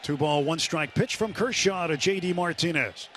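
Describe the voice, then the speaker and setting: Male radio play-by-play announcer, fairly high pitch, measured descriptive baseball calls, noisy stadium broadcast. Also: a loud crowd. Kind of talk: live radio play-by-play commentary. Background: loud ballpark ambience.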